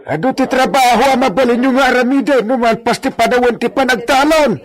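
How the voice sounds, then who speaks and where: A servile hacienda underling with an extremely high, shrill voice. He nags and scolds like a petty overseer, in broad comic radio drama style. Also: fairly quick, crowd noise. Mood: scolding.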